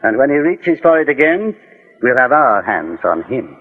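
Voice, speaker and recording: An actor playing a composed, authoritative American private investigator in a 1940s radio drama, speaking formally and deliberately. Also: male voice, mid-range voice, very animated, phone-quality sound, soft background music, natural voice. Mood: firm, confident. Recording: thin, band-limited 1940s radio recording.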